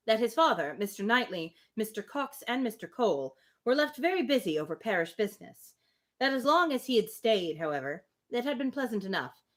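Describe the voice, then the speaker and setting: A woman reading a classic novel aloud on a livestream in an expressive, informal manner, ready to break off for casual asides. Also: a little high. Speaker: reader hosting a livestream reading.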